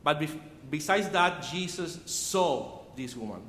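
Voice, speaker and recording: A man from Cuba with a Cuban Spanish accent, whose first language is Spanish, preaching a sermon in an earnest, measured way and often restarting words. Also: a little high, animated, fairly bright tone, slight background noise. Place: church sanctuary. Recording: echoey hall from far off.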